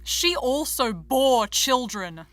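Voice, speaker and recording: An actress playing an ominous, fervent chorus figure in a tragedy, with deliberate, incantatory theatrical delivery. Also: female voice, high voice, big pitch swings, fairly bright tone, exaggerated voice. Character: female tragic chorus voice, ominous, accusing, fervent.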